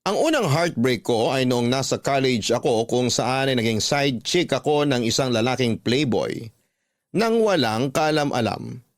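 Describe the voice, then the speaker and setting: A man narrating a listener's letter as a scripted radio drama story in a measured storytelling manner. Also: medium pitch, lively intonation, no room sound, clean studio sound.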